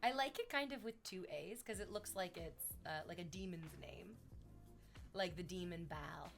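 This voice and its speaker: A woman's voice: on the low side, normal speed, gravelly, soft background music. A woman chatting casually on a livestream, rambling to her viewers and cooing at her dog in baby talk.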